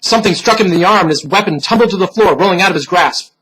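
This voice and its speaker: Male storyteller for gothic horror, husky and higher-pitched, solemn theatrical delivery that sinks into hushed moments. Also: racing, rough recording. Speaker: storyteller, reader.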